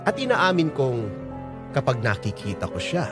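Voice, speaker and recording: A man's voice: medium pitch, wildly swooping pitch, moderate pace. An actor playing a middle-aged Filipino man who narrates his own life in a reflective, confessional way for a radio drama. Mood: wistful, fond. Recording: loud music under the voice. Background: music.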